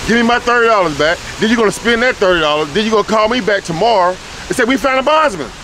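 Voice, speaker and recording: Fast-talking man lecturing outdoors. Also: sits high, expressive, bright, forceful tone, a little hiss or hum, low-quality recording. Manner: lecturing, profane, insistent. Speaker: street interviewer. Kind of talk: blunt street talk. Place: outdoors in a rainy parking lot.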